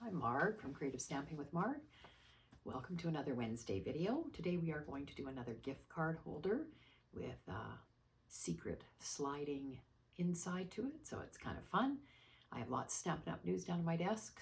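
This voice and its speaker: A woman hosting a card-making tutorial, croaky and hoarse from a cold, chatting and explaining steps patiently. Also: deep voice, very animated, moderate pace. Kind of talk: casual crafting tutorial.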